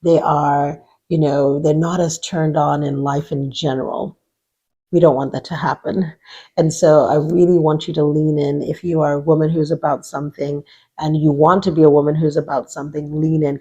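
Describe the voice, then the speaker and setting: Woman from Nigeria, middle-aged, life coach, motivational advice, instructive, raspy, dull lo-fi recording. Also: sits low, warm tone. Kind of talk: motivational advice talk to camera.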